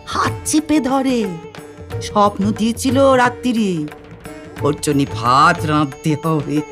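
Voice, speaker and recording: A woman's voice: mid-range voice, fairly bright tone. An actress playing a devout, humble village woman ascetic in an audio story, speaking in a reverent, pleading, doting way. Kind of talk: dramatised audio story acting. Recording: music behind the voice.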